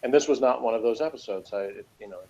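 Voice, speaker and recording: A man chatting casually as he reviews television, rambling and halting, often repeating himself. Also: mid-range voice, warm tone, highs cut off. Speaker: podcast reviewer. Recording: noticeable background noise.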